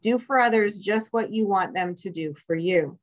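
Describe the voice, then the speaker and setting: Woman, scripture reader, loud and steady, dull recording. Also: mid-range voice, moderate pace. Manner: friendly and loud, steady reading. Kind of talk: reading scripture aloud. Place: church.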